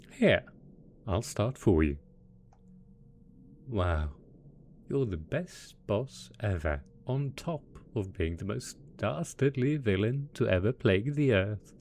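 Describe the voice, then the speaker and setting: Man acting a theatrical, low-voiced mad scientist boss. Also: a little mellow, slight background noise. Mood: teasing, mocking. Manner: theatrical, playful, mock-innocent, chuckling. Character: gleeful mad scientist supervillain boss, male, smug, possessively doting. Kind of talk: scripted roleplay audio drama.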